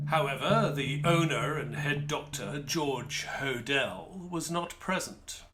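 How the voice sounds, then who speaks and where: An actor playing a learned, earnest doctor who is a detective's sidekick and narrator in an audio play, precise and explanatory. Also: male voice, medium pitch, a bit bright. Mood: measured storytelling.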